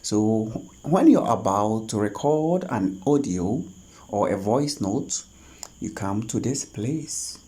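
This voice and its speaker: A tutorial host talking through phone app steps one at a time, in a halting, instructional way. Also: medium pitch, lively intonation, mellow, husky. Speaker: host of a tech tutorial channel. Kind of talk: spoken step-by-step screen tutorial.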